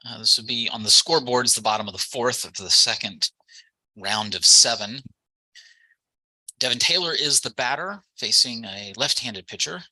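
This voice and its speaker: A man's voice: medium pitch, moderate pace, bright, forceful tone, dry recording, poor audio. A man doing live baseball play-by-play commentary as the game's announcer.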